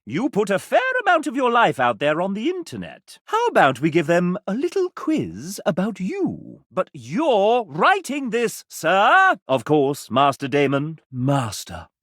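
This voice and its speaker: Casting: droll, fussy butler-like quiz host for a game. Highish voice, hugely animated range, theatrical and formal. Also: male voice, a little slow, few pauses, no echo, clean studio sound, exaggerated voice. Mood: prim, fussy. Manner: pompous, droll, formal, exaggerated delivery. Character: suave, fussy male game-show host, theatrical and deferential butler type. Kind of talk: theatrical scripted game show presenting.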